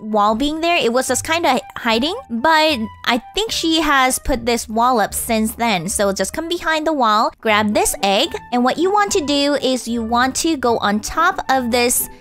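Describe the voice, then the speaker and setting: A woman's voice: sits high, animated, unhurried, hardly any pauses, fairly bright tone, faint music behind. A woman casually narrating a game walkthrough, guiding viewers step by step, her voice tired and strained. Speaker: gaming channel host.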